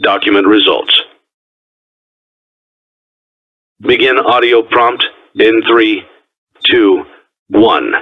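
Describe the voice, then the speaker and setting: Through a tinny, phone-like cassette filter comes a calm, ominous businessman in a horror game. His voice is lowish and husky. He delivers procedural instructions formally and deadpan, in measured phrases. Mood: flat, procedural. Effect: old cassette tape, radio-like filter. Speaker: instructional tape narrator. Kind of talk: scripted instructional tape narration. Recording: tinny, phone-like old tape recording.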